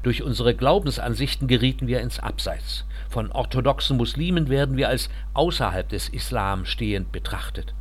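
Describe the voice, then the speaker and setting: A man reading the part of a reserved thirty-one-year-old refugee engineer, telling his story quietly and earnestly. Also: medium pitch, normal speed, few pauses, slightly rough, lightly acted.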